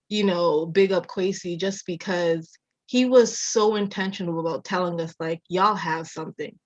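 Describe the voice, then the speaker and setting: Soft-spoken woman on a dull, dry line. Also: fairly low pitch, dry recording. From Jamaica, raised in Massachusetts. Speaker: architecture designer, guest. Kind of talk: casual interview storytelling.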